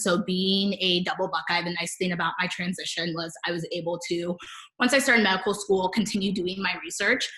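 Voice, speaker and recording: Young woman med student chatting on a panel, bright crisp tone, echoey room. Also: medium pitch. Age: 20s. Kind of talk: informal panel answer. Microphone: computer mic on video call. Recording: roomy echo on video call.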